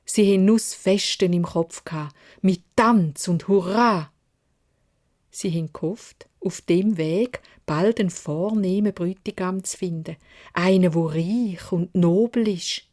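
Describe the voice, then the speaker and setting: A woman's voice: fairly low pitch, a little slow, darkish tone. A woman with a Swiss German accent narrating a children's fairy tale expressively in dialect, as a storyteller.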